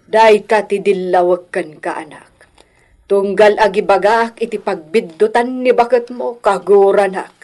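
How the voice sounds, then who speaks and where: A woman's voice: medium pitch, steady tone, unhurried, a little mellow, close to an everyday voice. A woman acting an older, meddling mother-in-law who scolds and complains in a radio drama. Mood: complaining. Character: meddling elderly mother-in-law, reproachful and self-righteous.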